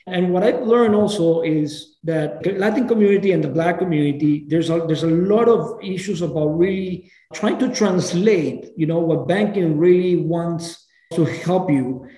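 A man's voice: a little high, moderate pace, mellow. A man from Venezuela, speaking earnestly as an interview guest and often restarting his phrases. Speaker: guest, program founder. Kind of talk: casual interview talk. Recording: roomy echo.

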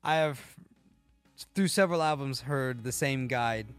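A man's voice: medium pitch, measured pace. A man hosting a podcast, chatting casually about music and often searching for his words. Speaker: podcast host. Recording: dry, music playing behind.

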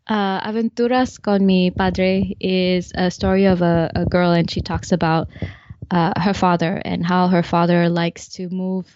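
Low-voiced woman with a mixed North American-Malaysian accent. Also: level tone, unhurried, darkish tone, dry recording, muffled recording. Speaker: podcast co-host. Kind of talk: casual podcast chat.

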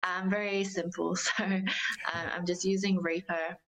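A woman giving considered, measured answers in a conversational interview as a singer-songwriter guest. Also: fairly low pitch, flat delivery, a bit bright, rough recording. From Australia.

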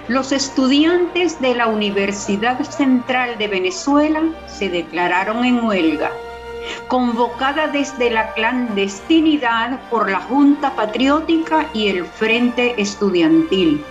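A woman's voice: fairly high pitch, expressive, slow pace. A woman narrating a scripted radio drama. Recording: muffled sound with loud music. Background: loud music.

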